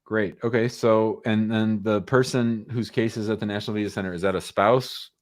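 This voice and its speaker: A man's voice: on the low side, fairly even intonation, moderate pace. A man hosting a live call-in advice show, chatting casually and giving short, informal, reassuring answers. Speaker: host answering immigration law questions.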